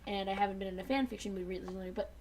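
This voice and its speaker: A woman joking crudely and casually with friends as she hosts a fan fiction reading, giggly and quick to slip into mock voices. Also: mid-range voice, darkish tone, slight background noise. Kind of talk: casual joking group chat.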